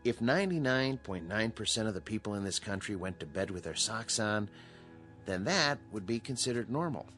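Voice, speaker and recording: An actor playing a calm, authoritative psychiatrist in a radio drama, speaking in a measured, explanatory way. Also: male voice, lowish voice, faint music behind. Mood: measured, explaining. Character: calm, authoritative male psychiatrist at a mental hospital.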